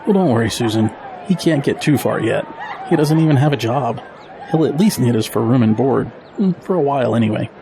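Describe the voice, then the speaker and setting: A man's voice: mid-range voice, lively intonation, brisk, mellow, natural voice. An actor playing an easygoing middle-aged father in an audio drama, reassuring and lightly joking. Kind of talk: scripted audio drama dialogue. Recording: faint noise, outdoor crowd murmur.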